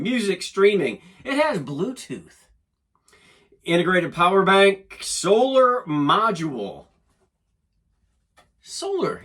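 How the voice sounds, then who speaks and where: A man's voice: a little high, fairly bright tone. A man giving a casual, folksy hands-on demonstration as a DIY host, often talking half to himself. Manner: folksy, talks to himself while working. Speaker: DIY channel host and product reviewer.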